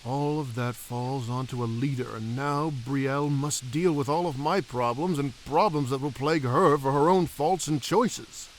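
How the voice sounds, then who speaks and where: A man playing a humble, troubled elf king and father in a fantasy audio drama, reflective and gently formal. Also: mid-range voice, normal speed, few pauses, slight background noise, natural voice, poor audio.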